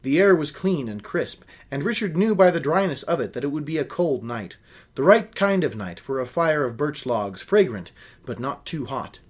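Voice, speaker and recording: A man's voice: medium pitch, slightly rough. A young man reading a novel aloud in a small room at home, hosting and adding wry, casual asides. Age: young adult. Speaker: host of a book reading show.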